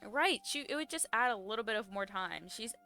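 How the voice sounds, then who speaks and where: Bright, high-voiced woman reacting to a game. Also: animated, brisk. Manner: slangy, incredulous, blunt. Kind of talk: casual live reaction commentary. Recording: game music behind commentary.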